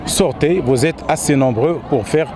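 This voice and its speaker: A man's voice: on the high side, moderate pace. A man making a direct, rallying statement in a street interview. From Maghreb. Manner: exhorting, direct. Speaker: demonstrator interviewed at a march. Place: outdoors in a city street at a demonstration. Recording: noisy outdoor crowd and traffic.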